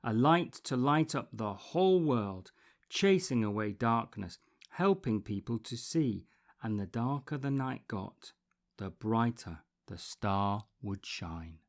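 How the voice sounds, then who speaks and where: Man reading a children's storybook, slow and expressive. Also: medium pitch. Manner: deliberate, dramatic phrasing with pauses, repetition and emphasis. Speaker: storybook reader.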